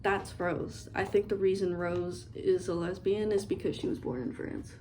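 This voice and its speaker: A woman's voice: mid-range voice, little pitch movement, normal speed, darkish tone, a little hiss or hum. A young woman in a dorm room, rambling playfully as she does her makeup for a get-ready-with-me video. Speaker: beauty vlogger. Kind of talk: casual get-ready-with-me chat.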